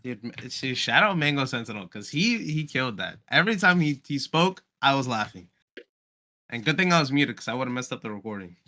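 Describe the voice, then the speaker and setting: A man's voice: mid-range voice, very animated, a little fast, pushed and bright. A young man chatting casually as a podcast guest, with a slangy, enthusiastic way of talking. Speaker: podcast guest, amateur voice actor. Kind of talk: casual chat.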